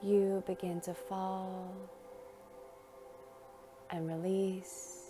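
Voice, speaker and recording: A woman narrating a guided meditation in a gentle, soothing, unhurried manner, leaving long pauses between phrases. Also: medium pitch, flat delivery, music in the background.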